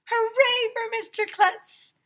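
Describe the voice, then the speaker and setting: A woman voicing excitable schoolchildren in a storybook, chanting in loud, drawn-out cheers. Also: very high-pitched, voice pushed up, exaggerated voice. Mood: thrilled.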